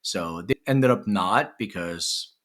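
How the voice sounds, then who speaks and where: A man from Lithuania who grew up in Philadelphia, a podcast guest telling his life story in a relaxed, conversational way. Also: low voice, takes it slowly. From Lithuania, raised in Philadelphia. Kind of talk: storytelling interview.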